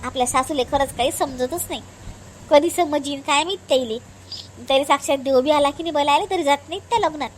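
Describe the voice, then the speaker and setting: A woman's voice: sits high, fast, close to an everyday voice, poor audio. A woman voicing an agreeable eldest daughter-in-law in a family cartoon, chatting in colloquial Varhadi Marathi with a gossipy manner. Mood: exasperated. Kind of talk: cartoon family drama dialogue.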